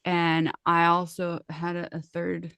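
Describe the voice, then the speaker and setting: A woman's voice: lowish voice, monotone, slow pace, vocal fry, a bit bright, clean tone, no room sound. A woman co-hosting in casual chat, talking in a chatty way, laughing easily and sometimes losing her train of thought. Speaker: co-host.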